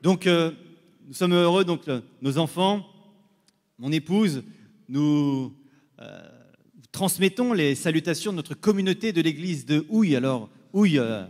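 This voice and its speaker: Higher-voiced man preaching in a reverberant hall. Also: expressive, unhurried, darkish tone. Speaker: visiting preacher. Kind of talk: church address to a congregation. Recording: reverberant hall, long echo.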